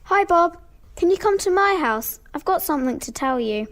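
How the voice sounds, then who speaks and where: A helpful, friendly policewoman in an amateur radio play, acted by a girl. Her voice is very high and plain, with little disguise. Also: expressive, normal speed, very noisy. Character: Jilly, Bob's helpful female police friend.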